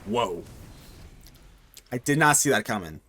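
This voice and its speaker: A young man at a middle pitch narrates his own card game live, his voice jumping up and down with excitement. He jokes, mutters to himself and answers his chat in a loose, chatty way. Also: unhurried. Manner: animated, talks to himself and chat, jokey. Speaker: gaming streamer. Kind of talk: live gameplay commentary.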